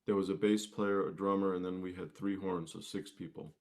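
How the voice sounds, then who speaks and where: A man with a fairly low voice speaks as a guest musician. His delivery is casual and conversational, like an informal chat. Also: fairly even intonation, a little fast, creaky.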